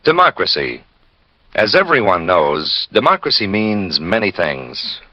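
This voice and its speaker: A man's voice: low-pitched, sing-song, measured pace, no echo. A man delivering a formal, scripted vintage radio announcement in a solemn, declamatory way. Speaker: public service announcer. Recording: dull, dry old radio recording.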